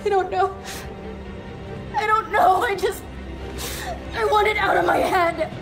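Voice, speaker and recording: A woman's voice: very high-pitched, steady tone, unhurried, music in the background. An actress playing a troubled but determined young woman soldier in a dramatic video game cutscene. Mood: anguished, distraught.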